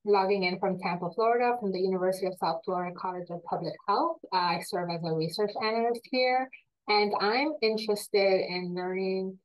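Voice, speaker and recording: A woman's voice: mid-range voice, rough recording. Friendly woman research analyst. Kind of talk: self-introduction on a video call. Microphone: video call.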